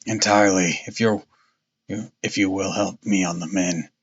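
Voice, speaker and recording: A man voicing a gruff, blustering police detective who is easily impressed, in a storybook reading. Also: sits low, steady tone, normal speed, dull audio, pitched below the performer's own voice. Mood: deferring.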